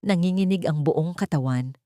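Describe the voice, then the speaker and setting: Woman, audiobook narrator, scripted dramatic storytelling, low voice, dry close mic. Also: moderate pace, warm tone, no room sound.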